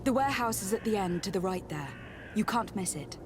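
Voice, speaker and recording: A woman playing a diplomatic young noblewoman in a video game, polite, formal and quietly assertive. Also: medium pitch, expressive, a little fast, background noise, lightly acted. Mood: assured. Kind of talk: video game cutscene acting.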